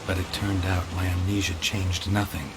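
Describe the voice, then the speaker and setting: A man doing a gruff, terse monster hunter in a video game, with a very deep, low voice and a dry, clipped way of talking. heavy ambient noise hums under the game audio. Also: level tone, moderate pace, natural voice. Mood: tender. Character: gruff middle-aged male monster hunter.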